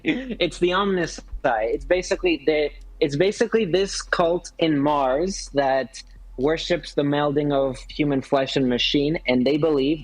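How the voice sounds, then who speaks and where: A man chatting casually, explaining things haltingly and often repeating his phrases as he goes. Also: medium pitch, poor audio. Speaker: guest on a live work stream. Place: hotel room.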